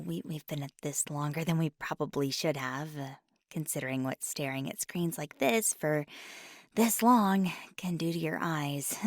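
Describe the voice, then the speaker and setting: A woman playing a nervous, earnest paranormal investigator in an ASMR roleplay, speaking softly in a hesitant, stammering, rambling way. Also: sits low, vocal fry, dry recording, studio quality. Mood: tired, sheepish. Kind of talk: ASMR roleplay, intimate one-sided dialogue.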